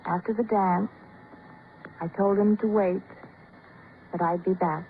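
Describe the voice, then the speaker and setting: A woman's voice: mid-range voice, fairly even intonation, measured pace, lightly acted. An American woman playing a distraught, controlling wife in a 1950s radio drama, theatrical, insistent and brittle. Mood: insistent, confessing. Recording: scratchy old radio broadcast, narrow band, hiss.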